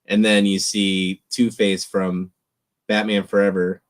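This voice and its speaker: A young man co-hosting a casual movie chat, animated and sarcastic. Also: sits low, measured pace. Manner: animated, sarcastic, mocking voices. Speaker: podcast co-host.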